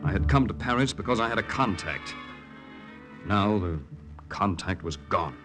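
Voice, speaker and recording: An actor as low-voiced, earnest wartime secret agent. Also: male voice, animated, normal speed, lightly acted. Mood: grave, reflective. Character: earnest young Allied secret agent posing as a Frenchman. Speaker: narrator and lead actor. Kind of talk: 1940s radio drama, first-person narration and dialogue. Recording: 1940s radio recording with music.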